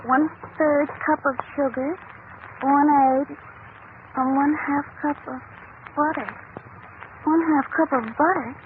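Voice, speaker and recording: On a noisy, narrow old radio recording, a woman plays a small child with a very high voice. The child is earnest and worried, in the plaintive style of 1940s radio drama. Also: fairly even intonation, takes it slowly, long pauses. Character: earnest, worried young child from a poor home.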